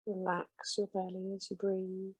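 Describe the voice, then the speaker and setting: A woman leading a guided meditation with slow, soothing, gentle instructions. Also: medium pitch, monotone, breathy edge, no room sound, poor audio.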